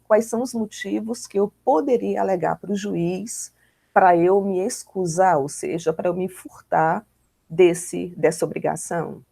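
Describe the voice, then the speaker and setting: A woman's voice: lowish voice, vocal fry, warm tone, no room sound. A Brazilian woman giving an informal law lecture, explaining in a didactic, conversational way and often checking in with short tag questions.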